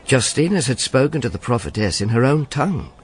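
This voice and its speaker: A man's voice: mid-range voice, lively intonation, a little fast, lightly acted. An actor playing a wry Roman informer, narrating his misadventures in a dry, sardonic way for a radio drama. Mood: wry storytelling.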